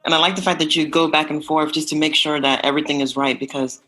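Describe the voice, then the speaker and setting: Man, podcast host, fairly high voice, encouraging, faint music behind. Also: fairly even intonation, quick pace, bright, forceful tone, rough recording. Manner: encouraging, affirming the guest. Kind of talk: interview conversation.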